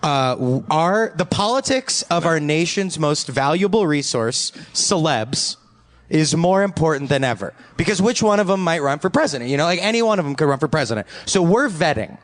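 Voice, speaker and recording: A man's voice: on the high side, animated, normal speed. A man hosting a comedy podcast game, bantering and joking with mock-earnest delivery.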